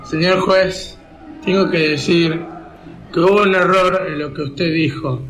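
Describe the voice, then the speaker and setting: A bungling comic crook played by a man, speaking in a raised pitch as in an amateur radio play. There is faint noise in the recording. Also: steady tone, measured pace, fairly bright tone, poor audio. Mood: earnest excuse. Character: bungling crook excusing himself to a judge.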